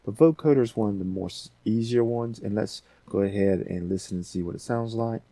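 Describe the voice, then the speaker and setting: A man giving a casual software tutorial as host, rambling a little and thinking aloud as he talks through steps. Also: on the low side, brisk, no echo.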